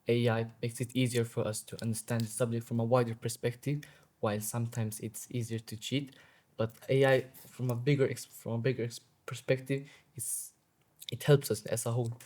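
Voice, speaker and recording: A man's voice: medium pitch, a little mellow. A teenage boy student explaining his views thoughtfully in an interview. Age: teens. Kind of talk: interview answer.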